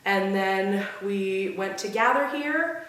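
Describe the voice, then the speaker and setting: A young woman with a hoarse, weak voice from laryngitis, chatting casually as a vlog co-host. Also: mid-range voice, animated, slow pace, a bit bright, very echoey, distant mic, rough recording. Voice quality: weak, whispery voice. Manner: chatty, laughs often. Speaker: podcast co-host.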